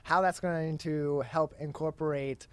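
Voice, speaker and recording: A man's voice: fairly high pitch, steady tone, a little slow, clear, resonant tone, no room sound. A man hosting a news and talk show, interviewing guests. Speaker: TV show host.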